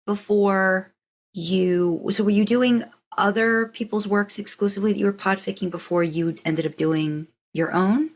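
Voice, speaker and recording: A woman's voice: medium pitch, fairly even intonation, normal speed. A woman interviewing a guest on a podcast in a casual, curious and encouraging way.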